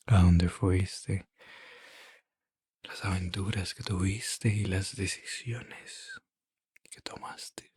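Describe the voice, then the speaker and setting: A man playing a gentle vampire in an ASMR roleplay, murmuring and whispering soft, breathy reassurance with long pauses to lull someone to sleep. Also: fairly low pitch, no room sound, studio quality, full character voice. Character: gentle male vampire soothing an adventurer to sleep.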